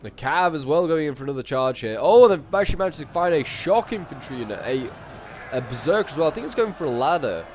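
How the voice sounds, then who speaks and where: A man with a bit of a cold, chatting casually through strategy-game commentary, rambling along and then bursting out excitedly at big moments. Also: on the high side, quick pace, slight background noise. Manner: chatty, with sudden excited outbursts at big plays. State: sniffly, coming down with a cold.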